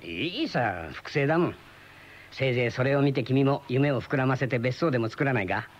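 A man's voice: mid-range voice, animated, fairly quick, slight background noise, natural voice. An actor playing a chatty, wry friend in his thirties in a radio drama, explaining things colloquially. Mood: easygoing. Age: 30s. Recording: dull lo-fi old radio recording.

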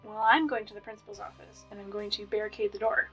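A woman playing a calm, teasing co-host. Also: mid-range voice, fast, slightly rough, muffled recording, soft background music. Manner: teasing and unbothered. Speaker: Hannah, announcer's co-host. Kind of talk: audio drama acting.

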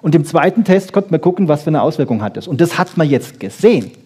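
Husky, emphatic male lecturer. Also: on the high side, big pitch swings, fast talker, a little mellow. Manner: emphatic, didactic, with frequent check-in questions. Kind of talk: informal public lecture on research.

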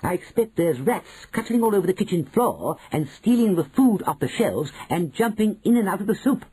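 A man voicing a sharp-tongued elderly grandmother who scolds in a raised, high voice. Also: lively intonation, normal speed, husky, exaggerated voice. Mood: indignant. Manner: indignant, high-pitched, relentless.

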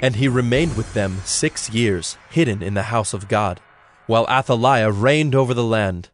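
A man narrating scripture for a dramatized audio Bible in a measured, solemn reading style. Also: fairly low pitch.

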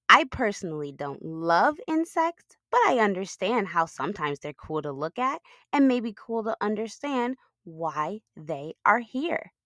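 A woman's voice: on the low side, fairly bright tone, dry recording, studio quality. A woman hosting a children's read-aloud, talking to young listeners in simple, explanatory language.

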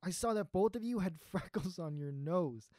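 In a dry recording, a heartbroken young adult speaks in a medium-pitched voice, earnest and halting. It is an actor's performance for an audio drama. The delivery is hesitant and lovesick. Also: animated, a little mellow, no echo, lightly acted. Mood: wistful, hesitant.

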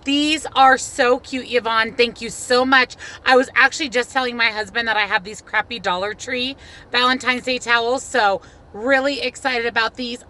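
Bright, high-voiced American woman vlogger. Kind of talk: casual chat to viewers. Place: inside a parked car. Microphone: phone or camera at arm's length.

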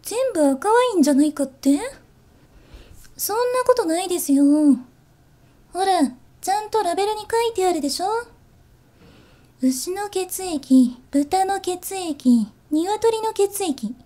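A woman voicing a politely teasing zombie bunny flight attendant in a Japanese ASMR voice drama, soft and formal. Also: very high voice, expressive, unhurried. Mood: polite, matter-of-fact.

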